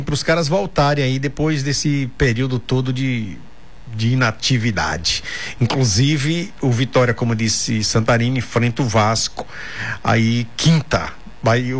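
A man hosts a casual radio talk show at an ordinary middle pitch. He banters and jokes with his co-host, then shifts into reading news items aloud. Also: lively intonation, a little slow, fairly bright tone. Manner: chatty, joking, reads news aloud.

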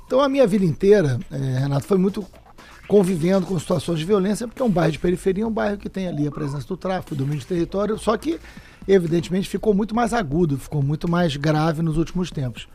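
Middle-aged Rio Portuguese man, guest author, fast and earnest, gravelly edge. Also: high voice, expressive, warm tone, faint music behind. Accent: Rio de Janeiro Portuguese. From Brazil, Rio de Janeiro state. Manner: earnest, insistent, repeats key phrases. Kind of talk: interview talk.

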